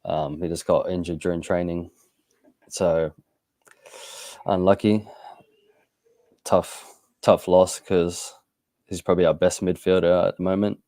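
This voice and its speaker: Man, very low voice, mellow, football co-host, unhurried, dry sound. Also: fairly even intonation, no echo. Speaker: podcast co-host. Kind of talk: casual football chat.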